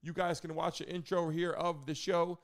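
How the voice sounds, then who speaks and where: A man's voice: fairly high pitch, little pitch movement, moderate pace. A man hosting a livestream in a casual, promotional way, addressing his viewers directly. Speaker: livestream show host.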